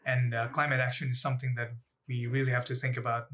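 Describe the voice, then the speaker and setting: Young male student speaker. Measured, pausing phrasing, level intonation, mid-range voice, earnest advocacy talk. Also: medium pitch, level tone, a bit bright. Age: young adult. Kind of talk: personal advocacy talk to camera.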